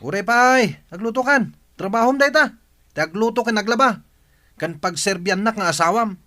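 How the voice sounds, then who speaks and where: A man's voice: sits high, moderate pace, sharp tone. A man playing a lazy, domineering young husband who is demanding and dismissive, in an Ilocano audio drama. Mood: angry, demanding.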